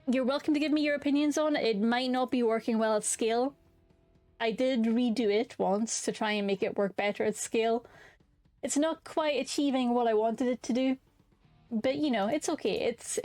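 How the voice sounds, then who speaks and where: A woman's voice: fairly high pitch, moderate pace, darkish tone, dry recording, soft background music. A young woman streaming a game, chatting candidly and swearing freely, often restarting her sentences as she rambles. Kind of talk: casual chat while streaming a game.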